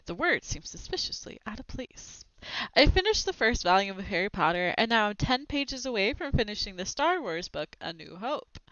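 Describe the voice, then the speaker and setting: A woman reads a children's book aloud as a storyteller, with a very lively, swinging intonation. Her voice is clear and smooth, and she drops in short asides about the pictures. The recording is close and dry but slightly dull. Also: a little high, no echo.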